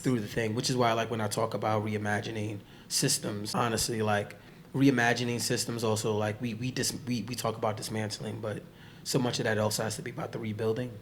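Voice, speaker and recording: A man from the Bronx, hoarse from losing his voice, telling stories in a casual, slangy conversation as a podcast guest. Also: on the low side, fairly quick, a little hiss or hum. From the Bronx, New York. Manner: relaxed, slangy storytelling with many fillers.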